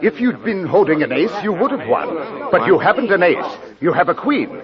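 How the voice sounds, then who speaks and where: An actor in a 1940s radio drama playing a suave card player calmly announcing his win. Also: high-pitched, brisk. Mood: smug, insistent. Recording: muffled old radio, noisy crowd behind.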